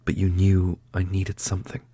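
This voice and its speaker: A man playing a solemn, loyal prince and protective brother in a fantasy audio roleplay, speaking earnestly and deliberately. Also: low voice, completely flat delivery, mellow, slightly rough, dry recording. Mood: solemn, tender. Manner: earnest, deliberate, grandly heroic. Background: orchestral music.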